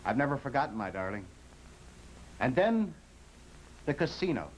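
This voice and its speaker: A man's voice: medium pitch, very animated, moderate pace, slight background noise, natural voice, poor audio. A man acting in an old-time radio drama, playing a tender, brooding husband who is a desperate gambler. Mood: indulgent. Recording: vintage radio recording with faint music.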